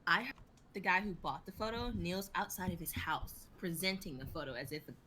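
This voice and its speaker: A young woman performs casual script dialogue. Her voice is bright and slightly low for a woman, and the stream recording has audible noise behind her. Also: expressive, fairly quick. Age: young adult. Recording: noticeable background noise, online stream.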